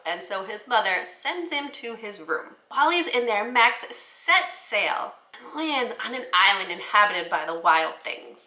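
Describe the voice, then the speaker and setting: A woman teacher giving an instructional talk to fellow educators, explaining things clearly. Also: fairly high pitch, bright, forceful tone. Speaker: teacher presenting children's books for the classroom. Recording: roomy, echoey indoor sound.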